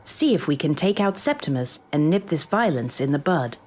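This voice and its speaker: A woman playing a brisk, dry-witted AI assistant in a comic sci-fi audio drama. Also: deep voice, big pitch swings, darkish tone. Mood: dry, resolute. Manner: crisp, dry, businesslike.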